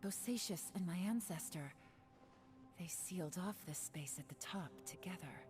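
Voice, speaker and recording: Woman voicing cool game lady, really low voice, measured, music behind. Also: natural voice. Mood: grave, composed. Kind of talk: video game voice acting.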